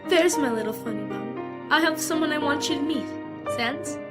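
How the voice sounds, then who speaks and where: A woman voicing a tender skeleton mother who serves as a royal guard, speaking gently and affectionately in a comic dub. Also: sits high, animated. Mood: warm, proud. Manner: gentle, slow, affectionate speech. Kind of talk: animated comic dub acting. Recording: music bed under the voice. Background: music with glockenspiel.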